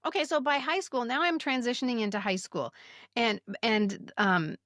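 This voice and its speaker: A woman in her fifties chats casually as a podcast co-host. Her voice sits a little high and swings expressively in pitch. The sound is very dry, with little room on it. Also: lively intonation, normal speed, a bit bright, no echo. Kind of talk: casual chat.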